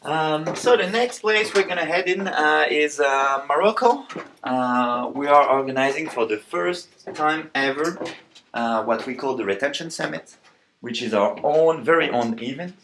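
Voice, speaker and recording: Gravelly-voiced man with a French accent. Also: a little high, animated, fairly bright tone, slight background noise. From France. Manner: halting, many fillers and restarts. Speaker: company co-founder. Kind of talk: rapid-fire walking interview. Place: company office in Paris, indoors and terrace.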